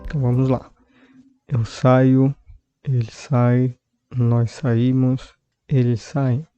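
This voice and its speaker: A man with a Brazilian Portuguese accent, hosting a podcast language lesson and explaining verbs calmly and patiently, repeating example sentences. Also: mid-range voice, slow, clear, resonant tone, no echo. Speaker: podcast host and language teacher.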